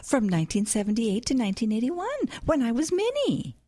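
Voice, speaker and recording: A woman playing a playful, enthusiastic mother in an audio comedy, coaxing and theatrical, with a husky voice. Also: on the high side, wildly swooping pitch, fairly quick, dark tone. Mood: upbeat, persuasive. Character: playful, enthusiastic mother with big schemes, grown daughter. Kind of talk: audio comedy drama acting.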